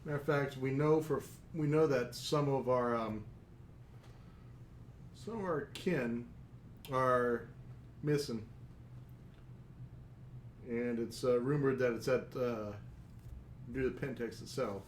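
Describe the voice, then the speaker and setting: A man's voice: medium pitch, takes it slowly, pauses often, echoey room, slight background noise, lightly acted. A man voicing a proud female werewolf pack leader, curt and guarded.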